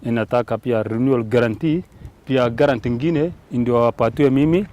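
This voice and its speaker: A man's voice: medium pitch, fairly quick, mellow. A man giving a spontaneous interview testimonial as a business owner.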